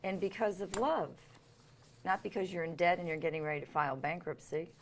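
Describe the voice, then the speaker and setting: A woman's voice: on the low side, fairly quick, a little hiss or hum. A woman who is an etiquette expert, a guest on a talk show in a studio, giving emphatic, opinionated advice. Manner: emphatic, opinionated, laughs easily. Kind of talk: casual studio chat.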